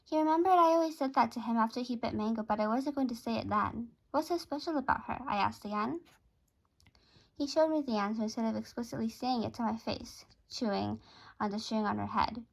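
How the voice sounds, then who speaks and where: A woman's voice: fairly high pitch, a little fast, vocal fry, rough recording. A woman reading a first-person story aloud, now and then stumbling and repeating a phrase.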